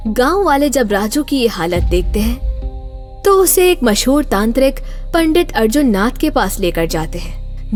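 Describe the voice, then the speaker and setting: A woman narrating a scripted horror story in a dramatic, suspenseful way. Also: fairly high pitch. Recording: narration over loud background music.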